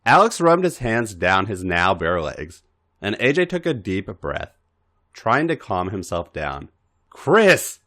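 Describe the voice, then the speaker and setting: Deep-voiced man narrating with sweeping pitch. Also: sing-song, measured pace, bright, forceful tone. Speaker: podcast narrator reading fan fiction. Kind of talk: expressive scripted story narration.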